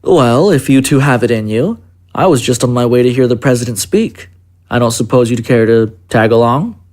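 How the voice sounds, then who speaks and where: A man acts out a senior political aide in an audio drama. He is courteous and secretive, speaking in formal, measured phrases at a medium pitch. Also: expressive, a little mellow, lightly acted. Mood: courteous, assured. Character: polite, secretive presidential chief of staff.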